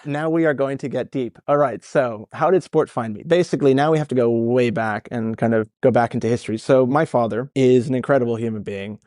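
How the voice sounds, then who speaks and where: Energetic Dutch-born man with an American accent. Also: medium pitch, fairly quick, hardly any pauses, no room sound, clean studio sound. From Netherlands, raised in California. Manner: energetic, rambling, swears casually. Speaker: guest, startup founder. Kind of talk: casual podcast interview.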